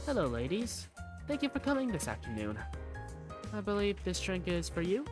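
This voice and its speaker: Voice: mid-range voice, huge pitch swings, normal speed. A performer voicing an earnest, courteous young male student working as a waiter in a game fandub. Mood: polite service. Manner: eager, courteous. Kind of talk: visual novel fandub acting. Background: background music.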